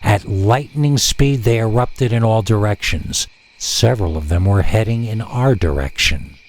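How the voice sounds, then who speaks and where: A man with a fairly low voice reads a scripted horror tale. His delivery is theatrical and suspenseful, setting phrases apart with deliberate pauses. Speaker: horror story narrator. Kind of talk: scripted scary story narration.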